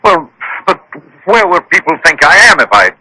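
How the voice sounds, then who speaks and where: An actor playing an uneasy man caught up in a mystery, in a radio drama, with a husky voice. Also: a little high, big pitch swings, moderate pace, sharp tone, dry recording, heavily acted, rough recording. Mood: anxious. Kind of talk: 1970s-80s radio drama acting.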